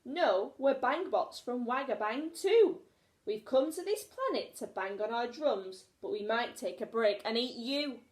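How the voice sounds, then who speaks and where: A brash, hungry alien robot drummer from a storybook, acted by a woman. It declares itself boldly in a high, animated voice. The sound is echoey. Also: a little slow. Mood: brash, menacing. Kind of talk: children's storybook reading.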